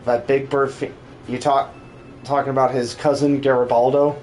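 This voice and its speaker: A man's voice: medium pitch, flat delivery, moderate pace, a little mellow, faint music behind. A man streaming a game and chatting casually as an amateur voice actor.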